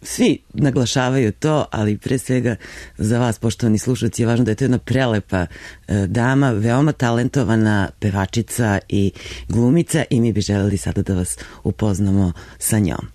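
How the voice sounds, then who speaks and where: A man with a Serbian accent hosting a radio interview, formal, in halting English. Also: lowish voice, mellow, no echo, clean studio sound. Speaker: radio host.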